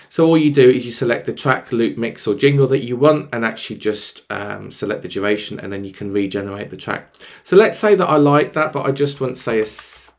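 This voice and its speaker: A man in his thirties with a slightly croaky voice, presenting a casual on-screen tutorial and thinking aloud as he goes. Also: mid-range voice. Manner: explanatory, thinking aloud as he clicks. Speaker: presenter of music tech tutorials. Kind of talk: casual screen-share walkthrough.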